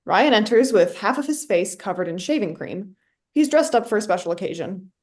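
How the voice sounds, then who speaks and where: A woman narrates a play script, reading out the stage directions. Her voice sits at a medium pitch. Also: expressive, brisk.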